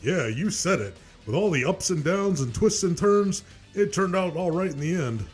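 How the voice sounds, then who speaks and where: A man playing a lively cartoon wrestling commentator with a husky voice. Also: high voice, moderate pace, soft background music. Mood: easygoing.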